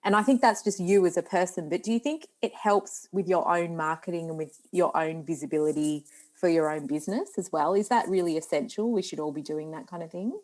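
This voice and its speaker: A woman's voice: fairly low pitch, fairly quick, darkish tone. Woman podcast host asking questions. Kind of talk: interview questions.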